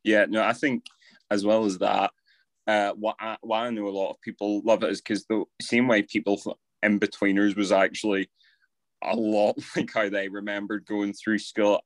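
A man's voice: lowish voice, little pitch movement, normal speed, dry recording. A young man co-hosting a podcast, talking things through with wry, teasing asides. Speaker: podcast co-host. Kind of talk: casual chat.

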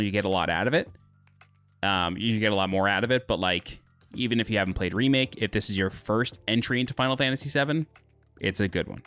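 Male co-host, deep bassy voice, blunt and opinionated in casual chat. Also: a bit bright. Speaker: podcast co-host.

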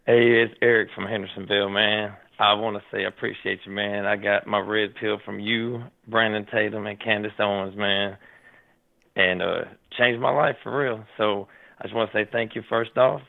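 A man from Tennessee calling in to a talk show, his voice hoarse and raspy, talking in a rambling, animated way and saying "man" often. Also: fairly low pitch, moderate pace. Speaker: caller. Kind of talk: casual call-in chat. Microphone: phone line. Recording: tinny phone line.

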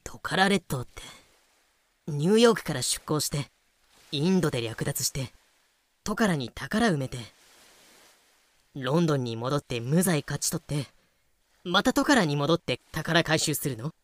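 A woman's voice: low-pitched, lively intonation, normal speed, long pauses, no echo. A woman voicing a frank, knowing lady who explains things drily in an amateur voice drama. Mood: dry, explaining.

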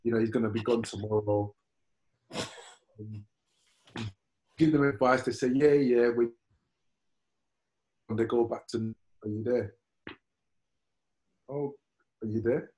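A man's voice: mid-range voice, fairly even intonation, measured pace, long pauses, darkish tone, poor audio. A man in casual podcast chat, talking in a rambling way with many restarts and repeated words.

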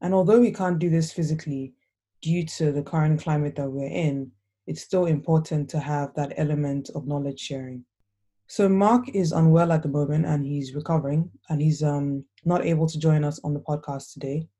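A woman hosting a remotely recorded podcast interview, talking in a soft, relaxed way. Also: low voice, moderate pace. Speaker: podcast host. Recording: not studio quality, remote.

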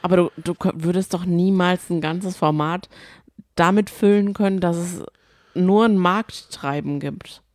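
A woman's voice: on the low side, unhurried, no echo, studio quality. A woman co-hosting a podcast, talking casually about reality TV shows. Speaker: podcast co-host.

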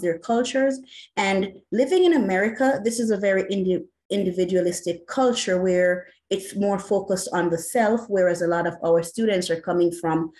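A woman's voice: mid-range voice, a little mellow. A woman with a Jamaican accent, an educator answering an interview question earnestly and passionately, with many "you know" fillers. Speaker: educator and academic.

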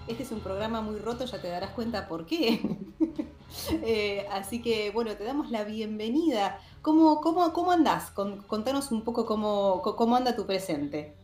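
A woman's voice: on the high side, expressive, moderate pace, a little mellow, reverberant, faint music behind. A woman from Argentina with a Rioplatense accent hosts a podcast in a casual, bubbly, exclamatory way. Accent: Rioplatense Spanish. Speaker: podcast host. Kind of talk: casual chat.

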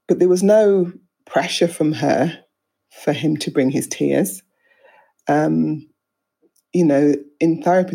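Counsellor host, calm and measured, dark gravelly voice. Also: mid-range voice. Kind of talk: calm interview conversation.

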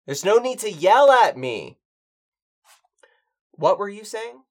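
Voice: medium pitch, sing-song, slow. A performer playing a pedantic, fussy apocalypse survivor who co-hosts a radio show in a pompous, wordy way. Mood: defensive, fussy. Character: pedantic, fussy survivor co-hosting an amateur broadcast. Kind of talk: scripted comedic audio drama, bantering radio show.

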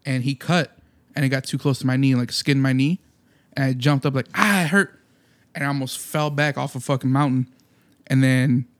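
Rambling, profane man telling stories. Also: medium pitch. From Munster, Indiana area. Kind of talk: casual chat.

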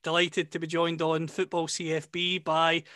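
A man's voice: on the high side, monotone, moderate pace, over loud music. A man with a Scottish accent hosting a football interview and putting questions to his guest. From Scotland. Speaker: interviewer and host.

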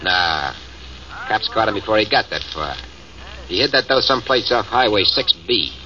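A gruff, impatient gang boss, acted by a man who barks his orders with a lively, swinging pitch. He sounds a little distant from the mic. The old radio recording is dull and hissy. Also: normal speed, close to an everyday voice. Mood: commanding. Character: hard-nosed gang boss, middle-aged man. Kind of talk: 1940s radio acting.

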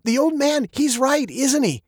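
A narrator as an eager, high-voiced little girl. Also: lively intonation, measured pace, dry recording, clean studio sound. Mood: pleading. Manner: pleading, insistent. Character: eager, pleading ten-year-old girl, stubborn and curious.

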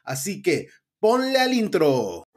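A man's voice: sits high, wildly swooping pitch, takes it slowly. A man with a Mexican accent hosting a casual podcast interview, chatty and rambling, with many restarts. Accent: Mexican Spanish. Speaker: podcast host.

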